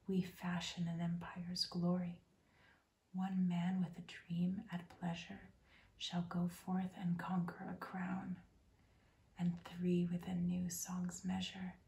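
A woman hosting an ASMR channel, reading a rhyming poem in a soft, unvoiced whisper throughout. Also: fairly low pitch, vocal fry, echoey room. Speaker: host.

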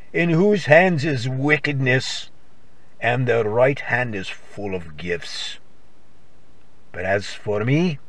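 A man reciting scripture in a solemn, dramatic style, with declamatory and deliberate phrasing, as the reader of a worship service. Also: a little high, no room sound.

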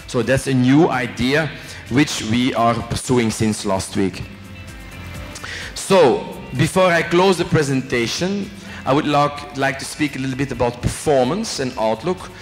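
Man, middle-aged, from Belgium, French accent, chatty joking lecturer, handheld mic, reverberant hall. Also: mid-range voice. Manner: chatty, joking, asks the audience questions, adds "eh?" tags. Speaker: company executive giving a guest lecture. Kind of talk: informal business talk to students. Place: large hotel function room with a student audience. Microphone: handheld microphone. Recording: long reverb in a big hall, faint music.

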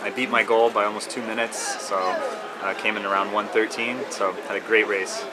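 A man, talking in a relaxed, easygoing way in an interview. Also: fairly low pitch, a little fast, heavy background noise. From California. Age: 20s. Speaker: skater. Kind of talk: interview to camera.

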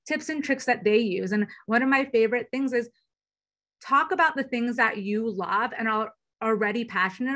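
A woman in her thirties talking as a guest in a fireside chat over a video call from a small room, upbeat and enthusiastic. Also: fairly high pitch. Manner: upbeat, enthusiastic, quick and lively. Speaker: platform executive guest. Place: small bedroom indoors. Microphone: laptop webcam video call.

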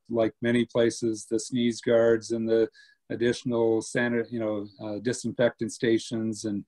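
A man in his fifties, an airport director, answering questions over a video call in a steady, measured way with many pauses and "uh"s. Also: fairly low pitch. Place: home room indoors. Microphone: laptop webcam on video call.

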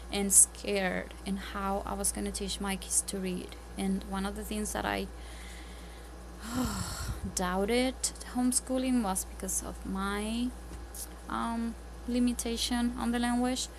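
A woman with a strong Spanish accent chats through homeschooling tips at her table, earnest and full of ums and restarts. Her phone's mic picks her up over a steady bed of clear background noise. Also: medium pitch. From Spanish-speaking country. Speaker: homeschooling parent. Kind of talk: casual tips vlog. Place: home, at a table, indoors.

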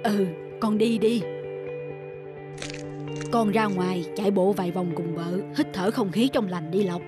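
A woman voicing a gentle, wise elderly mother in a cartoon, speaking softly and steadily. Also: mid-range voice, measured pace, lightly acted. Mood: kindly. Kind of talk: cartoon voice acting. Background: music.

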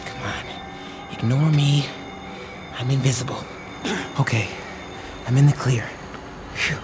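A man's voice: mid-range voice, takes it slowly, over music, natural voice. A man playing an earnest, nervous teenage boy in a video game, speaking casually and youthfully. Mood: tense, muttering. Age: young adult. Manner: casual, youthful muttering to himself. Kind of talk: naturalistic video game acting.

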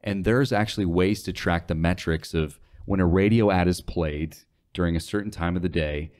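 A man's voice: deep voice, moderate pace, a little mellow. A man in his twenties explaining things conversationally as a podcast guest, on a close studio mic. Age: 20s. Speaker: guest, radio sales associate. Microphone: close studio mic on desk, headphones.

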